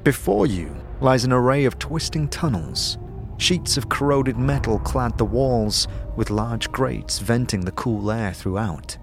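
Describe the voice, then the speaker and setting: A male narrator with a mid-pitched voice tells a fantasy adventure in the second person. It is scripted audio drama storytelling, with prominent music underneath. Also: animated, a little slow, gravelly. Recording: music behind the voice.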